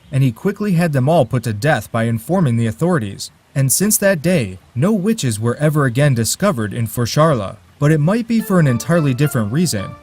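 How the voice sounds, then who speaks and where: A man's voice: mid-range voice, a little mellow, breathy edge. A man narrating a folk tale in a dry, wry way, with asides to his listeners. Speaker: storyteller.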